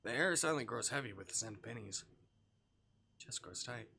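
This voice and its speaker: A man's voice: mid-range voice, expressive, fairly quick, vocal fry, bright, forceful tone, breathy edge. A man live streaming, reading a visual novel aloud and breaking off for informal, jokey asides. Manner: informal, jokey, swearing, reacting to the story.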